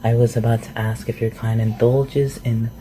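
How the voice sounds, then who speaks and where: An awkward, inquisitive shapeshifter living as a forest recluse, acted out by a performer for a fantasy roleplay ASMR. The voice sits at a medium pitch and rambles through hesitant questions. Noticeable noise runs under the recording. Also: flat delivery, normal speed, warm tone, lightly acted. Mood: awkward, teasing. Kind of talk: roleplay ASMR audio acting. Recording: clearly noisy home recording.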